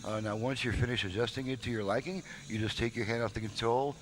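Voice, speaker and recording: A man's voice: medium pitch, steady tone, fast talker, fairly bright tone, a little hiss or hum. A man giving a casual, folksy, step-by-step demonstration as a radio repair technician, explaining things off the cuff. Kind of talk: casual unscripted product demonstration. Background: radio audio playing behind the voice.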